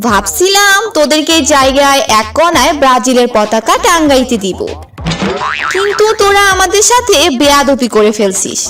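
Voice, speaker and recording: A woman's voice: sits high, sing-song, normal speed, recorded from a distance, music in the background. A woman voicing a feisty, combative young female football fan in a comic cartoon dub. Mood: angry, combative. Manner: combative, sharp-tongued.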